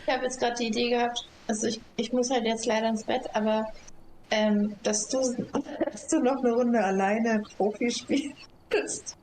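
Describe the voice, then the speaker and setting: A woman's voice: a little high, fairly even intonation, a little slow, a little mellow, a little hiss or hum, poor audio. A woman chatting casually while playing a game with a friend, mostly answering with short, easy replies. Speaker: co-player. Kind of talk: casual gaming chat.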